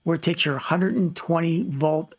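A man's voice: fairly high pitch, expressive, normal speed, breathy edge, no echo. A man narrating an informal instructional slide presentation as host, plain-spoken and practical, offering advice. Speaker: host of a ham radio tutorial series.

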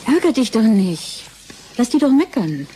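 Soft mellow wife in radio drama, concerned and soothing, lilting pitch. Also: female voice, very animated, unhurried, slight background noise. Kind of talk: intimate radio drama acting.

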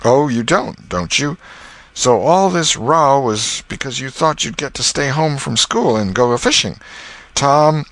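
A man's voice: mid-range voice, lively intonation, unhurried, fairly bright tone, dry recording, voice pushed up. A man voicing a fussy, tender-hearted old aunt in a storybook, scolding and exclaiming in folksy phrases. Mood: exasperated.

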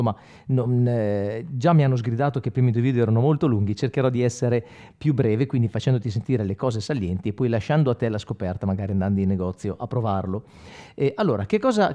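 Male, forties, gear demonstrator. Mellow tone, lively intonation, informal and explanatory. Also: mid-range voice. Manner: conversational, explanatory, with frequent check-in tags. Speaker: guitarist demonstrating effects pedals. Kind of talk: informal product tutorial. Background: electric guitar playing.

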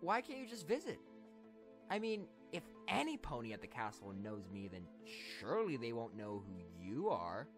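A man's voice: medium pitch, wildly swooping pitch, normal speed, over music. A man voicing a nervous young male pony squire who schemes reluctantly, awkward and hesitant in a fan cartoon.

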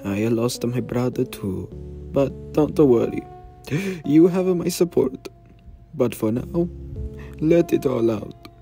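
A man voicing a gentlemanly, consoling cartoon character, measured and earnest. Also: mid-range voice, creaky. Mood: gentle sympathy. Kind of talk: comic dub character acting. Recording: music behind the voice.

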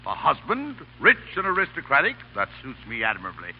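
An actor playing a pompous, florid fake judge, grandiloquent and full of dignified hemming, in a 1930s radio comedy. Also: male voice, mid-range voice, wildly swooping pitch, fairly quick. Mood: smug. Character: pompous, florid male con man posing as judge. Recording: muffled, hissy, reverberant 1930s radio broadcast.

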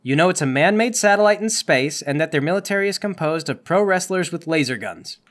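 Male game master, lively range, a bit high-pitched, wry and put-upon between narration and banter. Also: brisk. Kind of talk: tabletop roleplay narration and casual banter.

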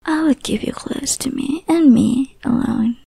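A young woman voicing an obsessive, possessive lover in an ASMR roleplay, whispering softly with teasing menace. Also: sits high, a little slow, dry recording, exaggerated voice. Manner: soft breathy whispering, teasing and threatening.

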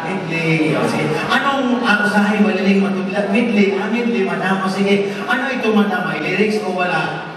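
Teasing Filipino comic in an echoey hall. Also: male voice, high-pitched, a little fast. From Philippines. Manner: teasing, chatty with the audience. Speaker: stand-up comedian and singer. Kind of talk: live comedy banter with the crowd. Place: large decorated event hall, on stage. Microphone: stand mic at a distance. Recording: echoey hall, loud music and crowd, from far off.

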